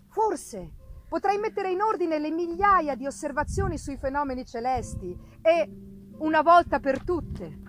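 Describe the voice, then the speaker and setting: A woman's voice: high-pitched, low-quality recording. A woman voicing an ardent male explorer writing to his sister, in an emphatic, declamatory dramatic reading. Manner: declamatory, emphatic, with swelling and falling phrases. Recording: live reading over music. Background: live musical accompaniment.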